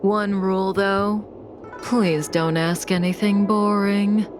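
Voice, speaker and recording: A woman's voice: mid-range voice, fairly even intonation, takes it slowly, lightly acted. A woman voicing a cool, mysterious, teasing manipulator in a video game cutscene, composed and in no hurry. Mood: teasing, calm. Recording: music bed behind the voice.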